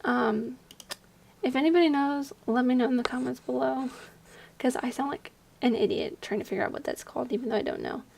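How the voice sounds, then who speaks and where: A young woman giving casual voice-over commentary on a game build, speaking quietly at night while slightly hoarse from losing her voice, rambling with lots of fillers. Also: high voice, fairly quick, a little hiss or hum. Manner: rambling, chatty, many fillers; hushed, keeping quiet at night. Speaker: video creator. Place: home at night, at a desk.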